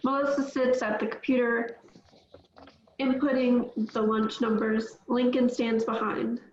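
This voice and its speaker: A woman's voice: a little high, flat delivery, a little slow, reverberant, muffled recording, a little hiss or hum. A woman reading the stage directions at a script table read in a plain, matter-of-fact way.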